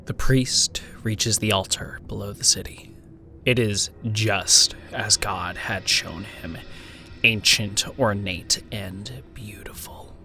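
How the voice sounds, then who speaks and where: A man narrating a horror story in a dramatic, deliberate and suspenseful way, sometimes hushed. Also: fairly low pitch, steady tone, whispered. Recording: music behind the voice. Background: music.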